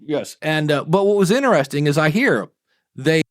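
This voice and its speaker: A man's voice: a little high, expressive, moderate pace, dry recording, clean studio sound. A man hosting a podcast, giving his opinions in a casual, rambling way. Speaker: podcast host.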